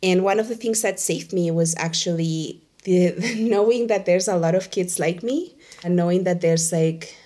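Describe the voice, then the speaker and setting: A young woman from Venezuela with a notably low voice, speaking as an interview guest. She talks reflectively, in quick bursts, leaning on "like" as she thinks. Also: warm tone. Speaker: Spanish teacher, guest. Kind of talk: candid conversational interview.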